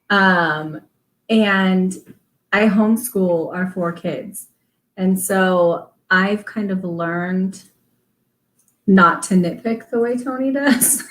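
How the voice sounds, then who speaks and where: A woman chats in a relaxed way about family life, with easy laughs and ums. She speaks slowly. Her voice carries a noticeable room echo. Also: medium pitch. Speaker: guest. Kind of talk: casual chat.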